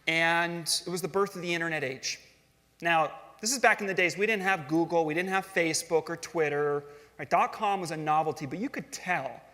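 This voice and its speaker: A man's voice: fairly high pitch, bright, forceful tone. A middle-aged man giving a storytelling stage talk in a conversational, self-deprecating way, asking the audience questions. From grew up in Switzerland and California. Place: large hall on stage. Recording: long hall reverb.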